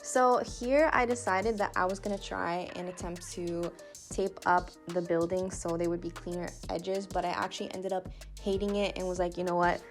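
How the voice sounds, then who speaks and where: A woman's voice: fairly low pitch, little pitch movement, normal speed. Casual young woman chatting over music. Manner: informal, giggly, self-deprecating. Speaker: painter and vlogger presenting her own painting process. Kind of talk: casual chatty vlog and voiceover. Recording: music behind the voice.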